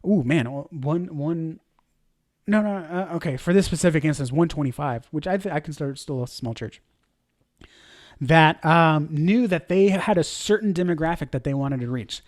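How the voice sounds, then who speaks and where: A man's voice: on the high side, normal speed, no room sound, studio quality. A man in his thirties, a church media director, teaching a leadership lesson in an informal, conversational way, with fillers and self-corrections. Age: 30s.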